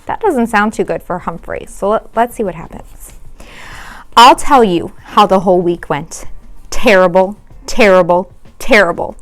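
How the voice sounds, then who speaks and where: A woman teacher reading a children's storybook aloud in an expressive way. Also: fairly high pitch. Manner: expressive, acts out dialogue.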